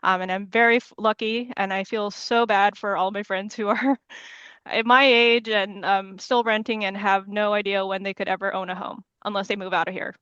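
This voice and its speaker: A woman in her thirties, a council candidate from California, speaks on a video call with a smooth, clear voice. She hesitates often and fills pauses with ums and small laughs. The audio is dry and a little dull. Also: medium pitch, steady tone, fairly bright tone, no room sound. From California, USA. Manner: hesitant, many fillers, laughs nervously.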